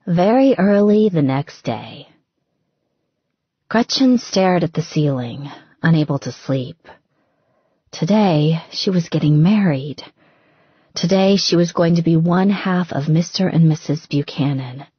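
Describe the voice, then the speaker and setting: Low, slightly husky woman narrating fiction. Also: lively intonation, measured pace, dry recording, muffled recording. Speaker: audiobook narrator. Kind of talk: scripted fiction narration, romance novel reading.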